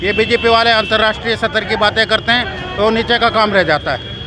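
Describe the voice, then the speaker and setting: A man's voice: high-pitched, steady tone, a little fast, crowd noise. A man, a party worker, speaking emphatically and complaining in a street interview.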